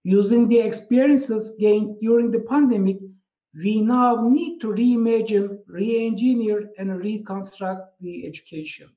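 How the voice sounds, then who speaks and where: A man from Turkey with a Turkish accent, a native Turkish speaker, giving a prepared conference keynote in a measured way as he reads from his slides. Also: high-pitched, steady tone, warm tone. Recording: muffled, echoey room.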